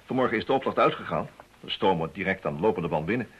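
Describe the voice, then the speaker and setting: An actor playing an eager, determined investigator in a radio play, urgent and persuasive. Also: male voice, low-pitched, expressive, fast, husky. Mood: brisk. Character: eager, determined male amateur investigator, impatient with police. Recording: dull lo-fi radio drama recording.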